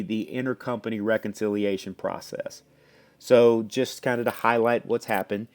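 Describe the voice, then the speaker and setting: A man presenting a software demo, explaining step by step in a conversational way. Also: mid-range voice. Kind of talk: explanatory product demo walkthrough.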